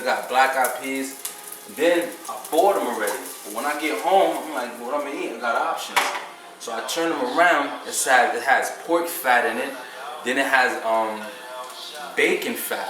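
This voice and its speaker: Man, host, rambling and preachy, swears freely, a bit off the mic in an echoey room. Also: medium pitch. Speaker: vegan podcast host. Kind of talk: casual rambling talk. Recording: roomy echo, mic at a distance.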